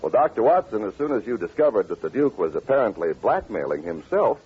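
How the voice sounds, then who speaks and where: An American man announcing and pitching in 1940s radio style, polished and emphatic. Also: big pitch swings, brisk, husky. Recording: tinny, scratchy old radio recording.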